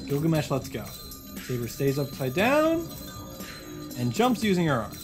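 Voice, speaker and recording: A man hosting visual novel highlights, reading the story narration aloud in a dramatic way with some commentary. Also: huge pitch swings, normal speed, music in the background.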